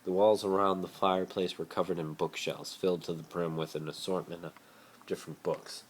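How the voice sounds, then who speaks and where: Very deep-voiced man reading a story. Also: little pitch movement, a little fast. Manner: stumbles over words and corrects himself. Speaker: amateur reader of fan fiction. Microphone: phone. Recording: noisy amateur phone recording.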